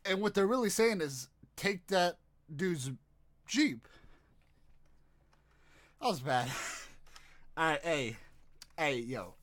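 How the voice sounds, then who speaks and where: A male streamer joking crudely over gameplay. His voice sits fairly high and jumps around lively in pitch. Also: takes it slowly, long pauses. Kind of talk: let's play commentary.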